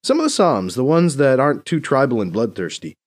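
Man voicing an impatient, high-pitched, irreverent former pope. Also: wildly swooping pitch, a little fast. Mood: dismissive. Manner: sarcastic, exclamatory.

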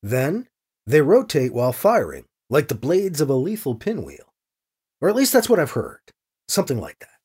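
A man's voice: a little high, wildly swooping pitch, normal speed, darkish tone, husky, heavily acted. A man voicing a wry church agent priest in an audio drama, polite and probing with dry sarcasm. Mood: reporting carefully. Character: wry, smooth-talking male priest and church agent, deferential yet sarcastic.

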